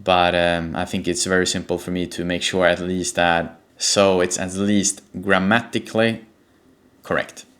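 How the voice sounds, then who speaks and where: A man's voice: low voice, level tone, a little slow, a bit bright. A man from Sweden with a Swedish accent, explaining things informally as an online coach and stressing his key points. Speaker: presenter, personal branding coach for real estate agents. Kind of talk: explanatory talk to camera.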